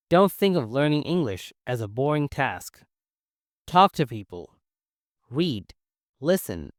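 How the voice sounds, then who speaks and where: Lively Chinese-accented man teaching English. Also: on the high side, dry recording, studio quality. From China. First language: Mandarin. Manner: encouraging, clear and simple phrasing. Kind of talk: scripted English-learning lesson.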